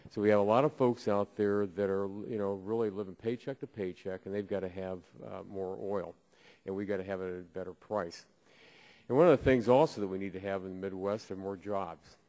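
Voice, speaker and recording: Congressman from Ohio, low mellow voice, halting with fillers, at a desk mic. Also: steady tone. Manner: halting, with many filler pauses. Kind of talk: committee hearing remarks. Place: committee hearing room.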